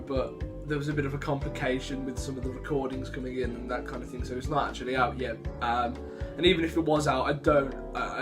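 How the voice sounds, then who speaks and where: A young man chatting casually to viewers as a vlogger, rambling and interrupting himself. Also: mid-range voice. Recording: music behind the voice.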